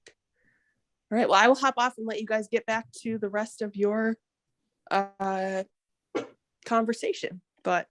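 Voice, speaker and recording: A woman's voice: mid-range voice, steady tone, a little slow, long pauses, fairly bright tone, no room sound. A woman who gave a talk thanking the group on a video call, upbeat and encouraging. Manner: upbeat, short encouraging replies. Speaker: guest speaker. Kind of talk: casual chat on a video call.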